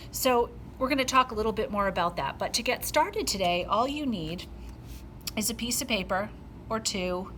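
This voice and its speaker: A middle-aged woman teaching an art lesson, talking gently and patiently with plenty of ums. Her voice sits at an ordinary pitch. A clear background noise runs under her words. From Rhode Island, USA. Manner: gentle, step-by-step explaining with frequent ums.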